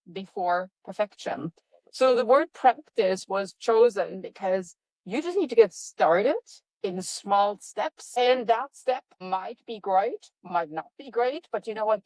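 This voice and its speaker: A woman with a European accent, a professor guest on a podcast, answering in an energetic, emphatic way. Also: no room sound, low-quality recording. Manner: energetic, upbeat, emphatic with lively pitch jumps. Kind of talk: enthusiastic interview answers.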